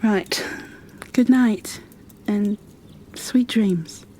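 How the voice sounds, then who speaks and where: A woman playing a gentle, protective creature bookkeeper in a soft-spoken ASMR roleplay, hushed, soothing and a little hesitant. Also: medium pitch, very slow, a little hiss or hum. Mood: soothing, sad.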